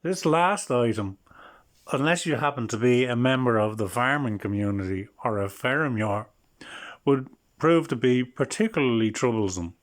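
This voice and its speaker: A man's voice: mid-range voice, lively intonation, normal speed. Middle-aged guy doing a jokey language lesson, dry humour, chuckles at himself. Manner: dry, joking, laughs at his own lines. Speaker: channel host teaching Irish. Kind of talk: humorous language lesson vlog.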